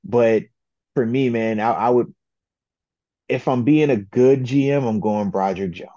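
A man co-hosting a sports talk show, giving his picks in a laid-back, slangy, deliberate way. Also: mid-range voice, dark tone, no room sound, highs cut off. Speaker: co-host, football analyst. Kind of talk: casual sports chat.

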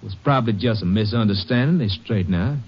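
A man's voice: fairly low pitch, fast talker, slightly rough. An actor playing an uneasy, defensive country man with a rural Southern accent, hesitant and easily riled, in a 1950s western radio drama. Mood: hesitant, evasive. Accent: rural Southern American. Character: uneasy, defensive male Texas farm brother. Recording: muffled old radio recording.

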